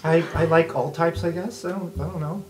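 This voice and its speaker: A man's voice: fairly high pitch, steady tone, fairly quick, noisy background, close to an everyday voice. A man playing a guarded, self-deprecating vampire student who speaks bluntly and hesitantly in improvised tabletop roleplay. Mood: awkward, dry.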